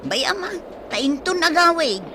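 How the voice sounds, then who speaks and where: A woman's voice: very high voice, very animated, unhurried, background noise, heavily acted. An actress playing a worried, nagging village mother in a Filipino radio comedy drama. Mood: worried, scolding. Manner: exasperated, nagging.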